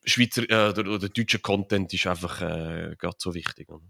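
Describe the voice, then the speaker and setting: Swiss German man, low voice, relaxed rambling guest explaining at length with lots of fillers. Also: fairly quick, creaky. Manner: rambling, many fillers and tag questions. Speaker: podcast guest, author and crypto consultant. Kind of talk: casual conversational interview.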